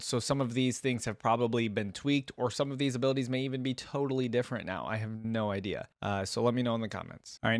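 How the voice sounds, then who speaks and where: A man's voice: medium pitch, dry recording, studio quality. A man hosting a reaction video, commenting casually and thinking aloud in a tentative, conversational way.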